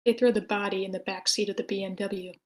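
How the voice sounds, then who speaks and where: A woman's voice: medium pitch, fairly even intonation, quick pace. A woman reading stage directions as the narrator of a screenplay table read.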